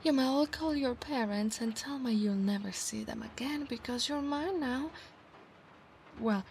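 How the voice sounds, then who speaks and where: A woman with an Italian accent voicing an affectionate, possessive centaur knight in a soft ASMR roleplay, often slipping into breathy whispers. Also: sits high, unhurried, slight background noise. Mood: chatty, teasing. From Italy.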